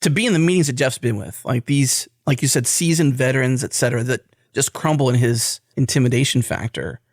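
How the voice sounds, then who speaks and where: A man's voice: mid-range voice, a little fast, dry recording, studio quality. An American man co-hosting a casual interview, reflecting aloud in piecemeal clauses. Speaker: podcast co-host.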